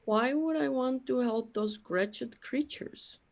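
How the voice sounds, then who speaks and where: Audio drama role: woman as puzzled, forgetful immortal, unhurried delivery, high-set voice, peevish questions. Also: vocal fry, exaggerated voice. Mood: bewildered, peevish. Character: bewildered, peevish immortal being with lost memory. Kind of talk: scripted audio drama acting.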